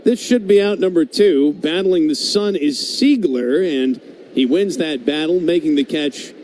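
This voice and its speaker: An American man calls baseball live for radio in a fairly high, mellow voice. He sounds conversational between plays, with faint background noise behind him in the booth. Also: unhurried, poor audio. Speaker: baseball play-by-play announcer. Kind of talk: live radio sports commentary. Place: stadium broadcast booth.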